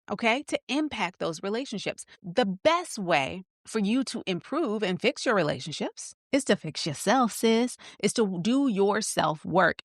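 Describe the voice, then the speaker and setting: A woman's voice: mid-range voice, fairly bright tone, dry recording, clean studio sound. Upbeat woman in her fifties coaching listeners. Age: 50s. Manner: upbeat, coaching, talks straight to listeners with "sis", "honey", "y'all". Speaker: podcast host. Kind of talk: motivational podcast monologue.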